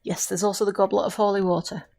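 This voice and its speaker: A woman's voice: mid-range voice, flat delivery, a little mellow, close to an everyday voice. A woman playing an earnest, grieving but insistent witch who mentors a novice in an audio drama, speaking formally and instructively. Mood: solemn, explaining. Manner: measured, instructive, formal phrasing. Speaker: voice actor in an amateur audio drama.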